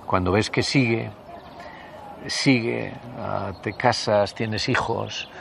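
Noisy street-like recording, spanish guy from the north in his 60s, creaky voice, halting chatty reminiscing in an interview. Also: lowish voice, fairly even intonation. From Basque Country, Spain. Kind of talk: conversational personal reminiscence.

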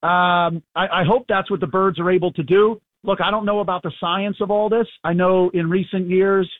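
Hoarse male phone guest, high-ish voice, phone-line quality, loose rambling delivery. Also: steady tone, normal speed, no room sound. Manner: rambling, easygoing, many fillers. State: hoarse, losing his voice. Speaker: sports reporter calling in. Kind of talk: casual radio phone interview. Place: abroad, away from the studio. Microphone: phone call.

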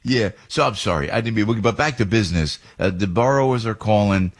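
Husky, gushing radio talk host. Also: male voice, fairly low pitch, animated, fairly quick. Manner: enthusiastic, effusive, gushing with praise. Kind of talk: lively radio chat and live ad reads. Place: radio studio.

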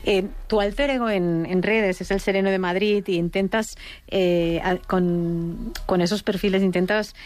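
A woman's voice: medium pitch, fairly even intonation, unhurried, a little mellow. Hesitant woman host from Barcelona. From Barcelona, Spain. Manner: hesitant, repeats words while framing questions. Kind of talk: casual radio interview.

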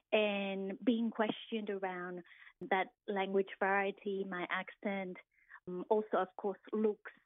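A middle-aged woman with an Argentine accent, a native Spanish speaker, explains her field in an interview. Her delivery is reflective and measured. The sound is dry but dull and narrow in band. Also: mid-range voice, no echo. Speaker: interviewed university lecturer. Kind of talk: thoughtful radio interview.

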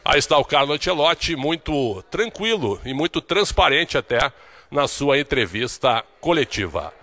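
A man from Brazil giving a recorded sports report in a steady, informative manner. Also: mid-range voice, normal speed.

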